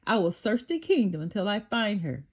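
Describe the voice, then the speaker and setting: A woman voicing a kind, earnest young prince in a storybook, speaking gently and a little lower than her own voice. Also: sing-song, normal speed.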